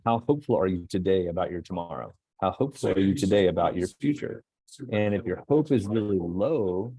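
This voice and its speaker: A man's voice: low-pitched, mellow, no echo, poor audio. A middle-aged man from the United States giving an easygoing motivational talk over a video call from an airport, with many fillers and restarts. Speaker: guest speaker. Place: airport with people nearby.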